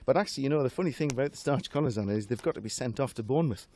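Fast-talking man with genteel, old-fashioned phrasing. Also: mid-range voice, lively intonation, a little mellow, no echo. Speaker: interview guest. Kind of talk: jovial anecdotal radio chat.

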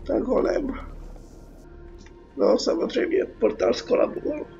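A woman's voice: a little high, expressive, vocal fry, warm tone, soft background music. A young Czech woman giving casual, sarcastic let's play commentary, informal and sweary. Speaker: gaming YouTuber. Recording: dull lo-fi mic with faint noise.

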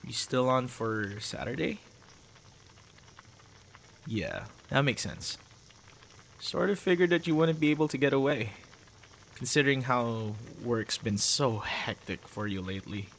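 A man acts out a young boyfriend in an ASMR audio roleplay, cute and teasing with something sinister held back. He is soft-spoken, at a middling male pitch. He takes his time over each phrase, talking very slowly and casually. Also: expressive, gravelly, a little hiss or hum. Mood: concerned, casual.

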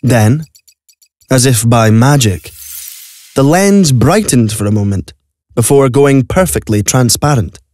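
A man's voice: medium pitch, lively intonation, measured pace, pauses often, darkish tone, no room sound. Male audio-play narrator, close dry sound. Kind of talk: scripted dramatic story narration. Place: recording studio.

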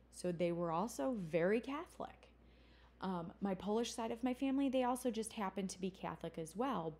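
Woman instructor, mellow tone, casual explanatory lecture style with self-corrections. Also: mid-range voice. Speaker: college instructor. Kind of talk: recorded lecture.

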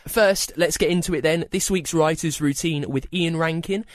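A man's voice: a little high, normal speed, no echo, studio quality. A man hosting a podcast, introducing and interviewing in a scripted presenter's style.

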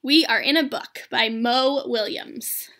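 A woman's voice: on the high side, slow pace, sharp tone. A woman in her thirties, an elementary school educator, giving an upbeat read-aloud introduction to young students. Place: small plain room indoors. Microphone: laptop webcam at arm's length.

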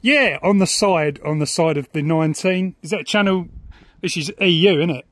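A man's voice: fairly high pitch, moderate pace, fairly bright tone. A middle-aged man with a southern English accent chatting informally in a casual hobby vlog. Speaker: radio hobbyist vlogger. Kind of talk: casual vlog chat and radio calls. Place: house and back garden.

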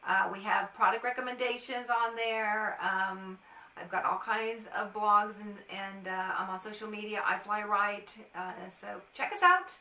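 Casting: middle-aged American woman, informal vlog-style advice giver, bright crisp-edged tone, recorded slightly off-mic. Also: medium pitch, measured pace. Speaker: airport agent and vlogger. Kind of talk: casual advice to camera. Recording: roomy, echoey, distant mic.

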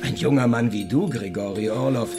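An actor playing a menacing, smugly amused cult leader in a crime radio drama, pompous, theatrical and condescending. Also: male voice, mid-range voice, a little fast, gravelly, music in the background, natural voice. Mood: mock disappointment.

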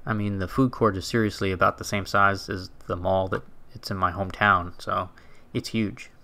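Bright-toned male travel vlogger. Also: sits low, fairly even intonation, normal speed. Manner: chatty, conversational, with fillers and restarts. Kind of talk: casual narrated walking tour.

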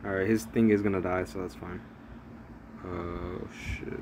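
A man's voice: deep voice, steady tone, a little slow, noisy background. A young man rambling through casual, profane play-by-play of a mobile strategy game as a gaming channel host. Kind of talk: casual gameplay commentary.

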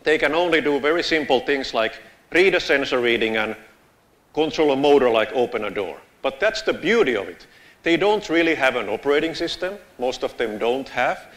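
A man's voice: medium pitch, moderate pace. A man from Finland in his thirties with a Finnish accent, giving a casual, joking conference talk on a lapel mic in a large lecture theatre. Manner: casual, joking, lively gestures while walking the stage. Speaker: conference speaker.

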